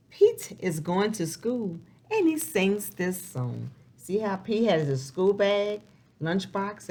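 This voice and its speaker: A woman reading a picture book aloud to young children, expressive and sing-song, posing questions as she goes. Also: on the low side, husky. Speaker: children's storybook reader.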